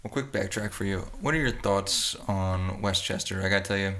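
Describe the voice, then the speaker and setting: A man's voice: sits low, brisk, a bit bright. A young American man hosting a podcast, asking questions in a casual, conversational way. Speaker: podcast host, interviewer. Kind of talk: casual interview chat.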